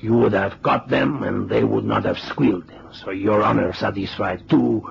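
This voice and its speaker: An actor playing a smug, courteously cruel Mexican crime boss with a Mexican accent and formal, mocking phrasing in a vintage radio drama. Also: male voice, on the low side, fairly even intonation, moderate pace, gravelly. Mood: smug, amused. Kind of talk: 1970s radio drama acting. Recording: muffled, hissy old radio recording.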